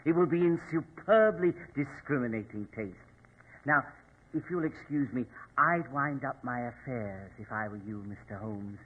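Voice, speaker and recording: An actor in a 1940s radio drama playing a sinister, smugly courteous mortician with a formal, unctuous, deliberate manner. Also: male voice, medium pitch, husky, natural voice. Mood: politely menacing. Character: sinister, politely menacing male mortician, smugly courteous henchman. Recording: tinny, band-limited old radio recording.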